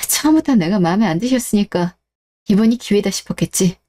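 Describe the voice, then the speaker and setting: A woman's voice: mid-range voice, lively intonation, brisk, warm tone, natural voice. A woman playing a fed-up wife in a melodramatic radio drama, firm, cold and sarcastic. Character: cold, resolute, fed-up wife standing up for herself.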